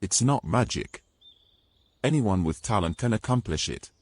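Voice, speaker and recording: A man's voice: lowish voice, animated, measured pace, a little mellow, no room sound. A man playing a grumbling, exasperated adventurer in a fantasy audio drama, haughty and formal in his phrasing. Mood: impatient. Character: grumbling, exasperated young adventurer carrying a mermaid.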